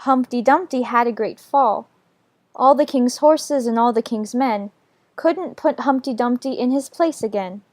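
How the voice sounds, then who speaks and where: Man doing a sweet little girl voice, way up high, polite and careful. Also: measured pace, no echo. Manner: gentle, polite, a bit anxious. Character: polite, earnest seven-year-old girl.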